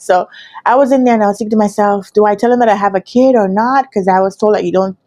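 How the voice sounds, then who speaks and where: Jamaican woman telling a story, expressive. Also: lowish voice. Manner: animated, expressive, acts out quoted dialogue. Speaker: business analyst trainer telling her career story. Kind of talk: casual storytelling to camera. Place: quiet indoor room with curtains. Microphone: lapel.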